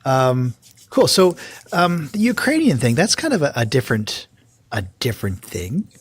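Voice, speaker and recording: Very low, husky-voiced woman host. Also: normal speed. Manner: friendly, relaxed, asks follow-up questions with restarts. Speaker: podcast host. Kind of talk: casual interview hosting.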